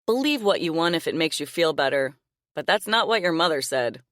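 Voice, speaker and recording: A woman's voice: sits low, lively intonation, fairly quick, fairly bright tone, no echo, studio quality. A woman voicing a cruel man who taunts his captive in a smug, mocking way.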